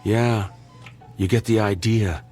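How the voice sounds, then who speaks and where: An actor with an American accent playing a sardonic, level-headed middle-aged doctor in an audio drama, dry and plain-spoken. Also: male voice, fairly low pitch, steady tone, slow, darkish tone, soft background music. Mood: grave, concerned.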